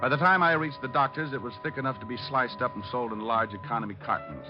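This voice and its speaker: An actor playing a breezy, wisecracking private detective in 1940s radio style, flippant and deadpan. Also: male voice, medium pitch, fast, natural voice. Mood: wry narrating. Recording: muffled old radio recording with music.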